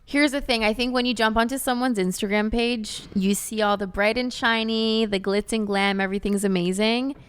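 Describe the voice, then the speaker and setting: A woman's voice: fairly high pitch, steady tone, normal speed, hardly any pauses, clean tone. A woman hosting a podcast in casual, unscripted chat, explaining her points in an earnest, conversational way. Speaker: podcast host.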